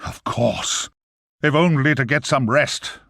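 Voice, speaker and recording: An actor playing a wry, chatty, overworked grim reaper in a video game, with a theatrical manner. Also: male voice, mid-range voice, slow, creaky, fairly bright tone, no echo, studio quality. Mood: wry, confiding. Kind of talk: scripted video game character acting.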